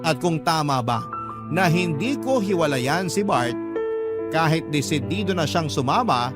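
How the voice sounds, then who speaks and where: Guy reading a story radio style, voice a bit high, music loud behind him. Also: expressive, a little slow. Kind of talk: first-person scripted narration, radio storytelling.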